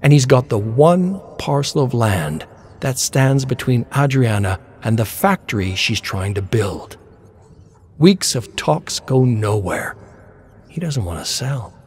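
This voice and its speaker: A man's voice: medium pitch, sing-song, takes it slowly, a little mellow. A man reading a scripted story as narrator, in a dramatic style.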